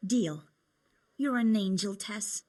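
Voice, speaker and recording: A woman's voice: mid-range voice, slow, a little mellow. A young woman playing a cheerful, teasing medieval tavern girl in a video game, chatty and coaxing. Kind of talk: video game cutscene acting.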